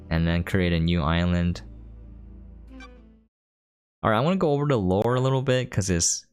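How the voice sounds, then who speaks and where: A man with a low-pitched voice talks through a video game as a streamer would. He is informal and explanatory, with faint music playing behind him. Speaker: gameplay streamer, commentator. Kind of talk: casual game commentary.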